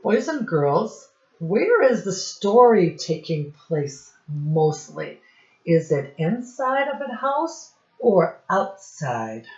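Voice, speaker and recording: A woman teacher reading a storybook aloud to young children in a deliberate, instructive way, pausing to ask them questions. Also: fairly low pitch, big pitch swings, a little mellow, lo-fi sound.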